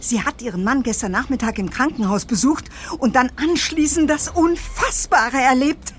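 A woman's voice: high-pitched, normal speed, background noise, close to an everyday voice. An actress playing an agitated, gossipy neighbour woman in a radio play, indignant and dramatic. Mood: indignant gossiping. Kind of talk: German radio play acting.

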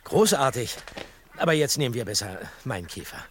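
A man's voice: mid-range voice, sing-song, fairly quick, a little hiss or hum, natural voice. A man acting a hesitant, polite young amateur detective in a mystery audio drama. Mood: placating.

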